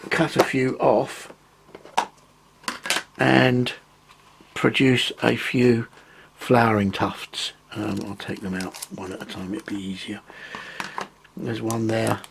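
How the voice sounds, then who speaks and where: A man with a croaky voice after a cold, casually explaining his model railway work, pausing often with ums. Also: mid-range voice, slight background noise. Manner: rambling, hesitant, many ums. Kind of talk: casual hobby tutorial.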